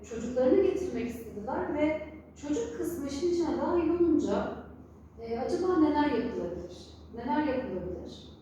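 A high, softly mellow female voice, recorded in a very echoey room away from the mic. She speaks conversationally as an interview guest, setting out her thoughts in deliberate, paused phrases. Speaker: interview guest, story therapist and family counsellor. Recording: very echoey room, distant mic, faint noise.

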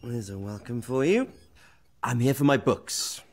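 Sardonic, theatrical young wizard, swooping delivery. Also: male voice, mid-range voice, expressive, measured pace. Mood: irritated. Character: vain, exasperated, quick-witted young male wizard. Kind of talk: radio drama acting.

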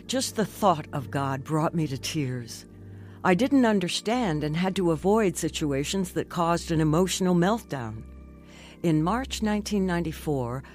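An actress playing an earnest, devout woman who tells her own emotional life story in a radio drama. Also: lowish voice. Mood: tender, reflective. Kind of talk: first-person narration in a radio drama.

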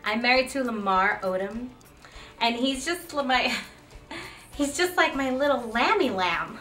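A woman puts on a ditzy, doting star's wife for a sketch. She coos in giggly baby talk with a bright voice. The room echoes. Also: on the high side, animated, unhurried, far from the mic, faint music behind. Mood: giddy. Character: ditzy, gushing celebrity wife.

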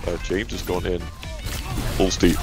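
A man's voice: deep voice, little pitch movement, unhurried. A man gamer in casual, joking and sweary chat with friends over voice chat. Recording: music mixed over voice chat. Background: music.

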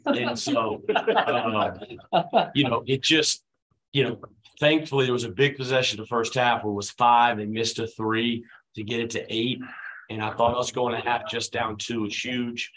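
A man in his forties, a head basketball coach, answering questions at a postgame press conference in a relaxed, conversational way with many fillers, speaking into a table microphone. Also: mid-range voice, normal speed, low-quality recording. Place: press room at a team table, his children beside him.

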